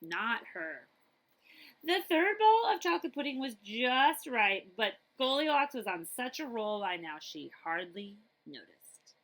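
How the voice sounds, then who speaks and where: A woman's voice: on the high side, rough recording. A woman librarian reading a picture book aloud to children expressively, with chatty asides and questions to her listeners. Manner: animated, chatty, pausing to ask listeners questions.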